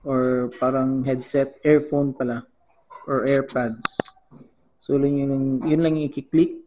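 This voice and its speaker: A man with a Filipino accent giving a casual how-to demonstration as a vlogger, halting as he searches for words and mixing two languages. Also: mid-range voice, flat delivery, lo-fi sound, noisy background. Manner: halting, searching for words, switches between Tagalog and English. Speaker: vlogger giving a gadget tutorial.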